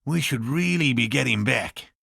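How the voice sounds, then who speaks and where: A man voicing an earnest, eccentric cryptozoologist in a video game, with a raspy voice and precise, courteous, scholarly phrasing. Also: medium pitch, animated, moderate pace, a bit bright, no echo, studio quality, heavily acted. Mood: explaining, scholarly. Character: earnest eccentric male cryptozoologist scientist, obsessive but courteous. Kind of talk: video game dialogue voice lines.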